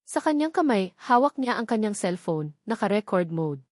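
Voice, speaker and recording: Female Filipino storyteller for dramatic audiobook narration, mid-pitched, measured delivery, dry recording. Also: sing-song, darkish tone, no room sound.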